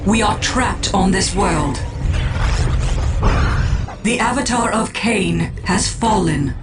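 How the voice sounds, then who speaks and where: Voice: medium pitch, expressive, slow pace, far from the mic. An actor playing a proud, resigned alien elf seer with solemn, formal speech in a dramatic game cutscene. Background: music.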